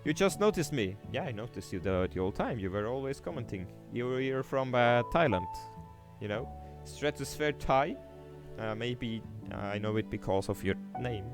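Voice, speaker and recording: Young man, Swiss German accent, hesitant livestream chatter, soft music underneath. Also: mid-range voice, expressive, normal speed. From Switzerland. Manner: hesitant, many fillers and restarts, reads chat aloud. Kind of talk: casual gameplay livestream commentary.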